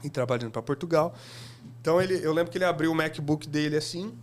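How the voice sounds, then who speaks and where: A man guest on a podcast, telling stories in a casual, animated way and acting out what people said. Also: a little high, fast. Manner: animated, laughing, acts out quotes. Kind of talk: casual storytelling.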